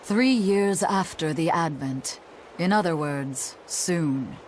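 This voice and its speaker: Calm lore expert lady in a fantasy game, lowish voice, measured. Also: slight background noise. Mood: calm, explanatory. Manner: measured, explanatory. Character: calm, scholarly, knowing female expert.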